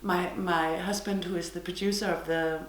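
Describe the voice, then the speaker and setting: A woman's voice: fairly low pitch, fairly even intonation, moderate pace, echoey room, slight background noise. A woman from Denmark with a Danish accent, hosting her podcast from her living room in a chatty, self-deprecating monologue. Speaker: podcast host. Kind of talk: casual monologue to camera.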